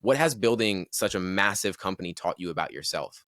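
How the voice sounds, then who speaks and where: Deep, bright-edged male podcast host. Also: expressive, dry recording, clean studio sound. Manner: reflective, halting with restarts. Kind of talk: casual podcast conversation.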